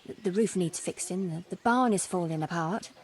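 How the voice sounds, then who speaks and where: A woman's voice: on the low side, moderate pace, a little mellow, slight background noise, natural voice. An actress playing a poor medieval peasant mother in a video game, pleading and deferential, with a folksy, indignant streak. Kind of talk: video game cutscene acting.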